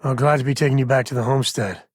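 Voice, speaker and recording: An actor voicing an easygoing space-western ranger and devoted father in a video game, with a husky voice and a folksy, wry, conversational manner. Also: mid-range voice, fairly even intonation, racing, dry recording, clean studio sound, natural voice. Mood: wry, reflective. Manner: folksy, wry, casual drawl of phrasing.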